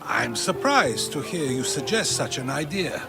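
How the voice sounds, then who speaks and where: Male game role: sly, earnest philosopher of ancient Greece, mid pitch, animated melody, rhetorical and measured. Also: vocal fry, music in the background. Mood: urgent, composed. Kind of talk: video game dialogue acting.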